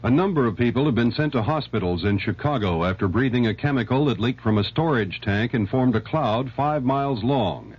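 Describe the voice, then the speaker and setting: A man's voice: medium pitch, expressive, a little fast, hardly any pauses. A man with an American accent anchoring a scripted network radio news bulletin. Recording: dull, noisy, humming vintage radio recording.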